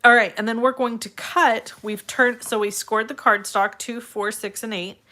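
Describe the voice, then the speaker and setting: A woman with a slightly raspy, congested voice hosts a casual step-by-step craft tutorial, addressing viewers in a friendly, direct way. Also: fairly high pitch, fairly even intonation, moderate pace, pushed and bright. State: congested, irritated throat from allergies.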